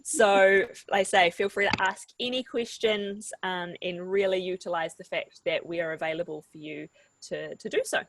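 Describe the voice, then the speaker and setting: A woman's voice: lowish voice, fairly even intonation, normal speed, dry recording, low-quality recording. Woman, webinar host, friendly, encouraging, dry recording. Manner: friendly and encouraging, repeats "fantastic". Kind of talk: hosting an online workshop.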